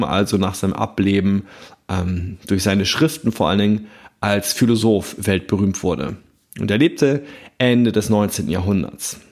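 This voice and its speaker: A man hosting a self-help podcast, speaking calmly and thoughtfully with frequent fillers while he has a cold and coughs now and then. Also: fairly low pitch. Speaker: podcast host.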